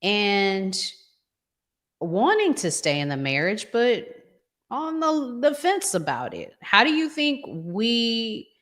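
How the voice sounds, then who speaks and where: A woman co-hosting a relationship podcast, talking conversationally with deliberate, emphatic phrasing. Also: mid-range voice, very animated, fairly bright tone. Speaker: podcast co-host.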